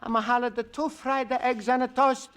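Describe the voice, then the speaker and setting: A man's voice: very high voice, fairly even intonation, moderate pace, fairly bright tone, smooth. An actor playing a naive, middle-aged Italian immigrant man who speaks broken English with a heavy Italian accent, in a vintage radio sitcom. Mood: earnest. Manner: broken English, hesitant and earnest. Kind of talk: 1940s radio sitcom acting. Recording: hissy vintage radio broadcast.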